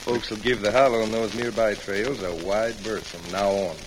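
A man playing a rough, menacing outlaw gang leader in an old-time radio western, loud and gloating. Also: on the low side, steady tone, normal speed, natural voice. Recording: hissy, crackly old radio recording.

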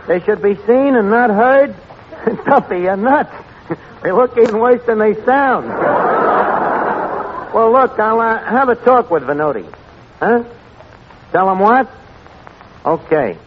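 Through a tinny, very noisy old radio recording comes a sardonic New York tavern manager. His voice sits high for a man. He delivers 1940s comedy wisecracks deadpan, in lowbrow, mangled grammar. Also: slow pace, long pauses. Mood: wry, dismissive.

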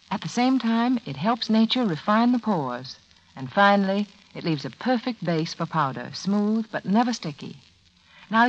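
Female spokeswoman for a vintage radio commercial, instructive, very animated intonation, muffled old recording. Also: lowish voice. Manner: polished, instructive. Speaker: beauty advertiser spokeswoman. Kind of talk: scripted 1940s radio commercial.

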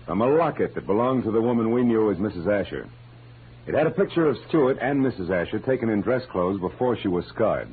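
An actor playing a tough, wry, world-weary American private detective in a sardonic, clipped, deadpan 1940s radio style. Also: male voice, fairly low pitch, brisk, slightly rough. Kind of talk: 1940s radio acting, hard-boiled first-person narration. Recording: tinny vintage radio broadcast, music behind.

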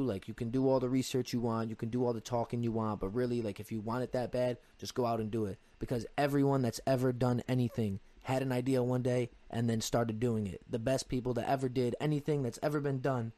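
A man's voice: mid-range voice, dark tone. A man in his twenties speaking as a podcast guest in a casual, slangy and earnest way, repeating short phrases for emphasis. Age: 20s. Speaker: podcast guest, amateur MMA fighter. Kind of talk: casual chat.

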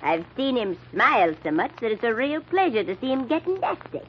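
Steely matriarch, middle-aged, lively swooping intonation, sardonic, noisy lo-fi vintage radio. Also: female voice, on the high side, fairly quick. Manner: commanding, sardonic. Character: steely, cool, commanding matriarch of rich family. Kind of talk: 1940s radio acting. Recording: dull, noisy old radio recording.

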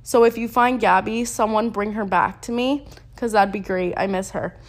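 A woman's voice: mid-range voice, fairly even intonation, moderate pace. A young woman hosts a casual solo podcast while she is sick, sniffling as she talks. She rambles in a chatty, slangy way and laughs at herself. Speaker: podcast host.

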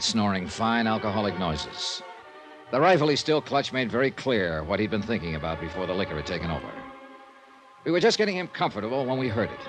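A man with an American accent acting a dogged, wry investigator who narrates an old-time radio detective drama in clipped, insistent phrases. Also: fairly low pitch. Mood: wry, brooding narration. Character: hard-boiled male investigator, dogged, wry, persuasive. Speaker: narrator and lead character.

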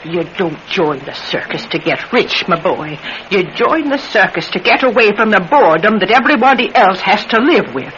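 A high, swooping voice for an old retired circus trouper in a radio play. He is folksy, nostalgic and wary, rambling like a born storyteller. The sound is dull vintage radio with clear noise behind it. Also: pushed and bright. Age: older. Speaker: radio drama actor. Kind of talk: classic radio drama acting.

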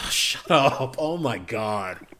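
A man's voice: mid-range voice, lively intonation, very slow, crowd noise. A man chatting casually as a podcast guest, emphatic and stammering in mock exasperation. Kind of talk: casual bantering chat.

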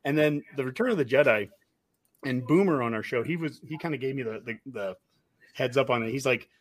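A man in his forties co-hosting a sports podcast in a relaxed, chatty way. His voice sits at an ordinary middle pitch, and he strings thoughts together with casual fillers. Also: brisk. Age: 40s. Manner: easygoing, chatty with filler words. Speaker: podcast co-host.

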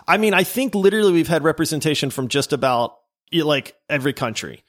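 A man's voice: fairly high pitch, lively intonation, quick pace. A man co-hosting a casual gaming podcast, enthusiastic and prone to stumbling restarts. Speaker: podcast co-host.

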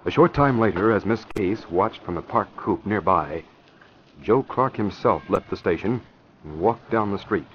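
A man's voice: lowish voice, expressive, moderate pace, a little hiss or hum. A man narrating a crime serial as announcer, in a scripted, dramatic old-time radio style. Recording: muffled vintage radio recording with music.

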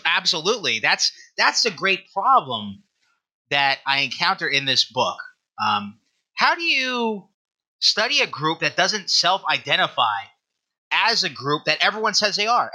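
Bright, forceful-voiced man explaining research. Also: high voice, sing-song, normal speed. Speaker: guest author and historian discussing own book. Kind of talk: explanatory interview talk.

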